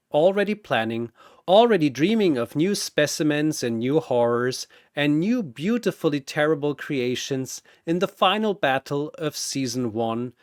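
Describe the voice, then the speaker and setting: A man's voice: medium pitch, no room sound, clean studio sound. A man narrating a scripted fantasy battle as a dramatic storyteller.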